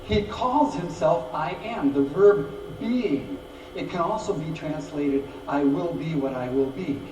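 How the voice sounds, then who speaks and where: Man, pastor, sermon, animated storytelling, slow delivery, roomy church sound, noisy background. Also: fairly high pitch. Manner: animated storytelling, acts out voices, repeats words. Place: church sanctuary. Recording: roomy, distant mic, background noise.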